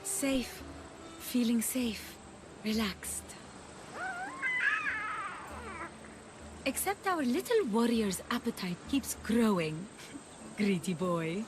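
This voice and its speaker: A woman's voice: a little high, expressive, slow, a little hiss or hum. An actress playing a wry, affectionate young wife and mother in an ancient Greek video game drama. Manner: wry, gently teasing.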